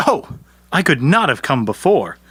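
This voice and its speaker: Actor as a bright-voiced, canny poor peasant man. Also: fairly high pitch, sing-song, a little slow, husky, no echo, exaggerated voice. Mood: confident, sly. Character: poor, canny, good-natured younger peasant man in a folktale. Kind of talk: audio storybook character acting.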